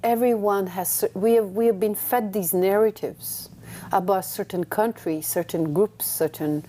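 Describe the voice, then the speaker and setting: A woman's voice: mid-range voice, a little slow, dark tone, a little hiss or hum. A woman born in Afghanistan, a humanitarian guest answering interview questions in a confident, upbeat way. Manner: confident, upbeat, laughs readily.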